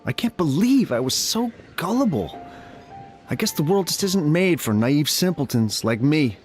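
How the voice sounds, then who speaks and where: A man's voice: on the high side, big pitch swings, normal speed, a little mellow. An actor playing a humble, self-blaming older male captain in a video game, speaking in an earnest, hesitant, polite manner. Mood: rueful, dejected. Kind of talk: video game character dialogue. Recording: music under the voice.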